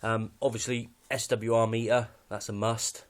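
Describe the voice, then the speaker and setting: A man's voice: medium pitch, flat delivery, moderate pace. A British man giving a casual, unscripted walkthrough of his kit in a matter-of-fact, slightly hesitant way. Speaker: hobbyist presenter showing radio kit.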